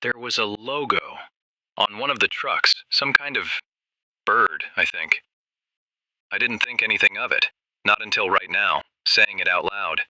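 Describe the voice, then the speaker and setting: A man playing an earnest, shaken desert ranger phoning a radio drama's talk show, plain-spoken, halting and emphatic. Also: lowish voice, lively intonation, heavy background noise. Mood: hesitant, uneasy. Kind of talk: radio drama phone-in testimony.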